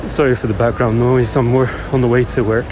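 A middle-aged man leaving a casual voice message for a podcast while on the move, hesitant and thinking aloud with many pauses. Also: medium pitch, level tone. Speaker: podcast caller. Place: on the way to work. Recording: voice message with loud travel background noise.

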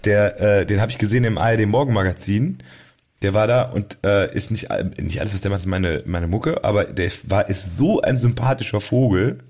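A man with a fairly low, raspy voice talks casually as a podcast host. He rambles in a halting way, often starting a sentence and then correcting himself. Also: a little mellow. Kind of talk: casual chat about music.

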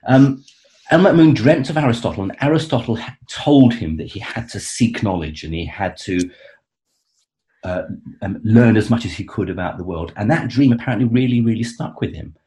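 Low-voiced man explaining haltingly. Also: expressive, warm tone. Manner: hesitant, many restarts and fillers, enthusiastic. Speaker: author and guest expert. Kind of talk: conversational explanation.

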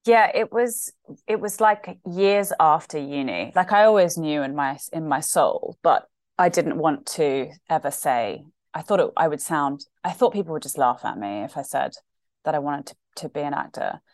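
A woman's voice: deep voice, lively intonation, normal speed, no room sound, clean studio sound. A woman from Australia who has lost most of her accent, a guest chatting casually and self-deprecatingly on a podcast. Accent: British with faint Australian traces. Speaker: guest actor and comedian.

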